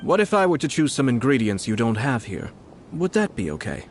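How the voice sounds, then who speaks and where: An actor playing a composed, polite young nobleman in a video game, shrewd and quietly mischievous, speaking in measured phrases. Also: mid-range voice, sing-song, slight background noise, natural voice. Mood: interested. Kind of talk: video game character acting.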